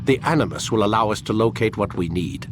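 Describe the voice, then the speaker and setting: An actor playing a cold, condescending older male scientist in a video game, with a clipped, deliberate and patronizing delivery. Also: on the low side, expressive, over music, natural voice. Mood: cold, insistent. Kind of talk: dramatic video game voice acting.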